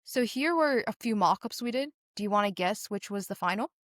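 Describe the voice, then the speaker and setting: Teenage girl, mid-range pitch, earnest young founder answering interview questions, chatty storytelling, dry recording. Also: animated, a little fast, dry recording. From Singapore. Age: teens.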